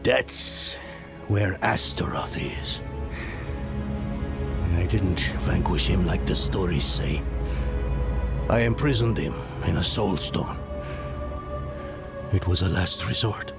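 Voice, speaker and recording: An actor playing a weary, solemn older guardian and father in a fantasy game, speaking gravely with a gravelly voice. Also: low-pitched, exaggerated voice. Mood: grave confession. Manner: grave, measured. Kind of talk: dark fantasy game dialogue acting. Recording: music behind the voice. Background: music.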